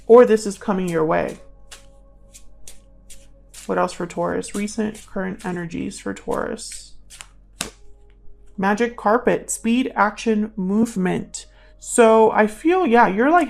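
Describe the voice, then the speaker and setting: A woman's voice: medium pitch, takes it slowly, gravelly, long pauses, darkish tone, faint music behind. A woman giving a casual, intuitive tarot reading as a channel host, musing aloud and sometimes trailing into murmured questions.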